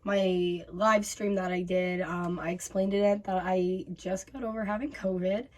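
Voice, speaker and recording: A woman in her twenties hosting a reaction vlog, voice raspy from bronchitis, chatting casually with many fillers. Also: lowish voice, fairly even intonation, moderate pace. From North America. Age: 20s. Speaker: YouTube host.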